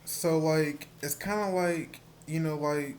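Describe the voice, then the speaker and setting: Soft-voiced man who stutters. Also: on the high side, steady tone, background noise. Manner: stuttering, halting with many repeated words and fillers. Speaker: vlogger. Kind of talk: casual personal vlog monologue.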